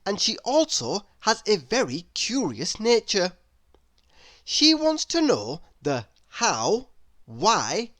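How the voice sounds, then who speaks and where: A woman's voice: mid-range voice, dry recording. A woman narrating a children's story read-aloud expressively, addressing young listeners with playful questions.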